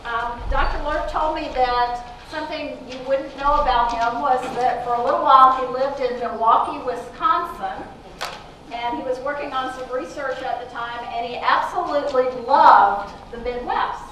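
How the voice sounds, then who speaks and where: High-voiced woman introducing a speaker. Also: a bit bright. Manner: informal, halting with fillers. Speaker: event host introducing speaker. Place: large lecture hall. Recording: echoey hall from far off, noisy.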